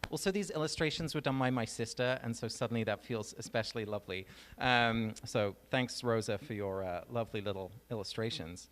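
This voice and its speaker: A man with an English accent giving a personal talk on stage, relaxed and storytelling, with frequent ums. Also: medium pitch, fairly quick. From England. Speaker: guest speaker. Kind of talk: public talk. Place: large venue on stage. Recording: reverberant hall.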